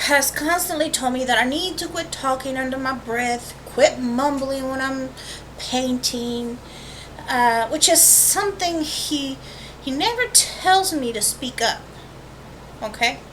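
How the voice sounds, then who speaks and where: A woman with a notably high voice chats informally as the host of an art vlog. She rambles through asides and corrects herself as she goes. The sound comes from a webcam microphone, with faint noise underneath. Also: a bit bright, rough recording. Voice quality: high-pitched voice. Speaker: host of a watercolor painting channel. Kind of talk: casual, chatty vlog intro to an art tutorial. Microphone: built-in webcam microphone.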